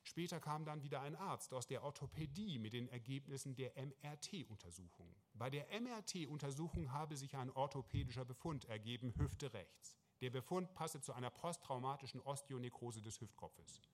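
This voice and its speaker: A man reading a first-person literary story aloud, measured and wry, with small restarts. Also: mid-range voice, expressive. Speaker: narrator. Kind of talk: first-person literary narration, reported speech.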